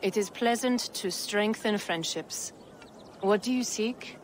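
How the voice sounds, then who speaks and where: A woman playing a courteous, poised female trader in a video game, formal and measured. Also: mid-range voice, slight background noise, lightly acted. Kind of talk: dramatic video game cutscene acting.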